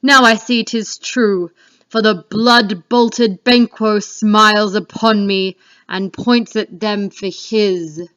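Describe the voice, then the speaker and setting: A woman's voice: mid-range voice, steady tone, takes it slowly, a bit bright. A woman reading the part of Macbeth, a fearful, defiant king, in a declamatory Shakespeare dramatic reading. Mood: horrified. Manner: declamatory, exclamatory. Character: Macbeth, male Scottish thane turned king, fearful and defiant. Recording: dull lo-fi home recording.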